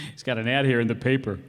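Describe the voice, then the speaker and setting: A man's voice: medium pitch, fairly even intonation, brisk, close to an everyday voice. A man playing a flippant, wisecracking troublemaker in old-time radio comedy, breezy, folksy and glib. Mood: amused. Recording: reverberant hall stage recording.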